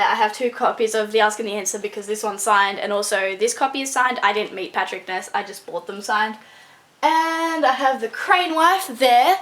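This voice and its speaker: A young woman talks casually to her camera about books, her voice sitting a little on the high side. She rambles in a friendly, chatty way, padding her sentences with ums and likes. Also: a bit bright. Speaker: book vlogger.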